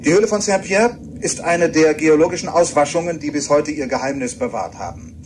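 A man's voice: on the high side, brisk, a little mellow, close to an everyday voice. Guy acting as a radio drama reporter, male, polite n eager, bit formal, noisy on-location sound. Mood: courteous, presenting. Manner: polite, slightly formal, chatty. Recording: clear background noise, location ambience.

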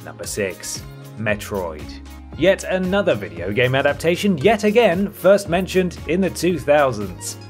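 A man's voice: on the high side, very animated, measured pace. A man presenting scripted countdown narration with wry, tongue-in-cheek asides. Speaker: presenter of a video game list show. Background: music bed.

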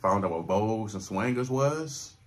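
Low-voiced Californian man, slangy and profane. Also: animated, normal speed. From Long Beach, California. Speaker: reaction video host. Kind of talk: casual reaction commentary. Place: small room with foam-panelled walls.

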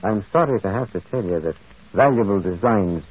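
An actor playing a gentle, shrewd priest detective in a radio drama, musing and deliberate, quietly insistent. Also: male voice, lowish voice, expressive, slightly rough. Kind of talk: old radio drama acting. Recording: muffled, tinny vintage radio broadcast.

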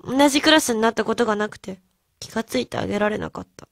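A woman's voice: fairly high pitch, fast talker, gravelly, no room sound, studio quality. A woman acting as a tender, sensitive teenage schoolgirl in a radio drama. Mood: regretful. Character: tender, sensitive second-year schoolgirl club member.

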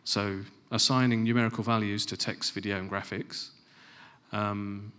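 A middle-aged man giving a conference presentation on a large stage into a handheld mic, stressing key phrases and dropping to quieter asides. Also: fairly low pitch, flat delivery, normal speed. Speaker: conference speaker. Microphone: handheld microphone.

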